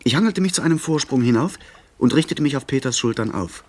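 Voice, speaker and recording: An actor playing a young gentleman who recounts his sea adventure in measured, literary radio drama narration. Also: mid-range voice, expressive, close to an everyday voice. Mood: absorbed, suspenseful. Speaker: narrator and lead character. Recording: 1970s radio play, faint background noise.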